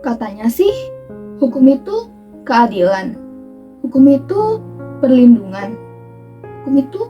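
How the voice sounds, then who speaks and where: A woman delivers a dramatic theatrical monologue in an impassioned, rhetorical manner with emphatic pauses. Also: high voice, muffled recording. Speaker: monologue performer. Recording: distant microphone with music underneath. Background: background music.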